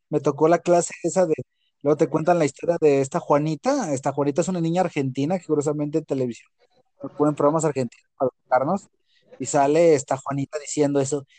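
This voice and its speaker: A middle-aged man with a Jalisco Mexican accent, chatting casually as a co-host. He tells stories with lots of energy and coarse slang. His voice sits a little high and sounds warm and mellow. The recording is close and dry. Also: fast, no room sound. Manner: animated storyteller who acts out quotes, with crude slang. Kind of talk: casual chat.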